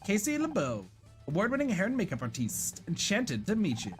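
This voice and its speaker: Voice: mid-range voice, wildly swooping pitch, fairly quick, soft background music. A performer voicing a flamboyant, sassy male hair and makeup artist in a video game, with theatrical delivery. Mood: indignant, flamboyant.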